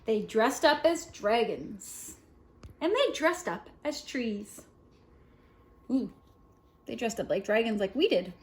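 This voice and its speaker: A woman's voice: fairly high pitch, sing-song, slow, pauses often. A woman reading a picture book aloud at story time, expressively, with little asides to a small child.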